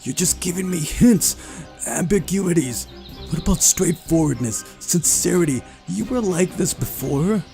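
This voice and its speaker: A man's voice: high voice, animated, a little slow, vocal fry, mellow, soft background music, pitched above the performer's own voice, heavily acted. A man voicing an earnest, flustered young man who gets exasperated easily, in a visual novel reading.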